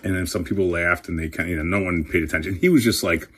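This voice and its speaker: A man chatting casually and excitably while opening trading card packs, swearing now and then. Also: low-pitched, fast talker, slightly rough. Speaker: career coach and card collector host.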